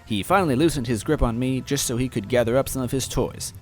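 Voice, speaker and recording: A man reading a first-person story aloud in expressive, scripted narration. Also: mid-range voice, quick pace, soft background music. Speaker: story reader.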